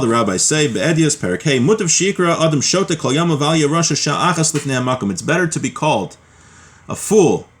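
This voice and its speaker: Man teaching a religious text class. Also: medium pitch. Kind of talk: religious text class, reading aloud and explaining.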